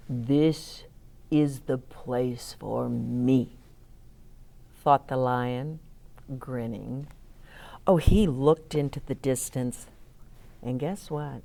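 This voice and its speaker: A woman's voice: rumbling low, drawn-out words, vocal fry, long pauses, dark tone. A woman hosting a story time for young children, reading aloud and chatting expressively, explaining things simply and asking the children questions.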